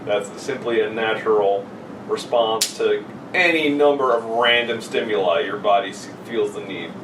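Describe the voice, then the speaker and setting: A man's voice: on the low side, moderate pace. A young man chatting casually with friends as a podcast co-host, joking in a crude, profane way. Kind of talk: casual chat among friends. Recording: roomy, distant mic, noisy background.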